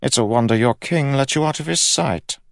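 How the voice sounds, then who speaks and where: A man's voice: mid-range voice, normal speed, vocal fry, dry recording, clean studio sound, pitched above the performer's own voice, natural voice. A man voicing a pompous, sly, greedy king of England in an audiobook, with an imperious, droll delivery. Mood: probing, covetous. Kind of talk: audiobook character acting.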